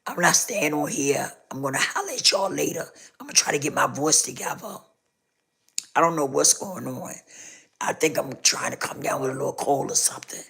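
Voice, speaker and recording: A woman hosting a casual live stream, hoarse with a rough, scratchy voice, repeating herself as she talks straight to her viewers. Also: low-pitched, expressive, a little fast, fairly bright tone.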